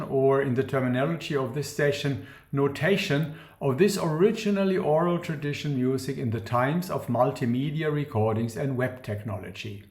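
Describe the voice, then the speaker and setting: A man gives a scripted academic lecture in a formal, measured, precise manner. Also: on the high side, darkish tone. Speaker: academic researcher presenting a talk. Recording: roomy, echoing sound.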